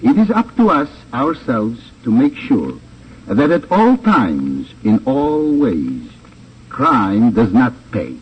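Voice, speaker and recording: A man's voice: medium pitch, sing-song, breathy edge, lo-fi sound. A man gives a formal, earnest scripted moral address in the style of 1950s radio. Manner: formal, earnest, deliberate phrasing. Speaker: guest actor giving closing address. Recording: distant, band-limited old radio recording, faint noise.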